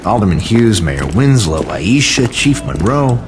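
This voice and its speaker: An actor playing a world-weary, wary police officer in casual banter in a game cutscene. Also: male voice, fairly low pitch, sing-song, a little slow, music in the background.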